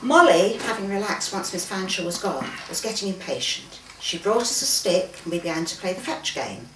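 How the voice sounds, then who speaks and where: A woman's voice: fairly low pitch, animated, moderate pace, a bit bright. A woman reading her own fiction aloud expressively at a live event in a home, acting out dialogue and occasionally stumbling over words. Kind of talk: expressive story reading from a manuscript. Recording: roomy, distant mic, faint background noise.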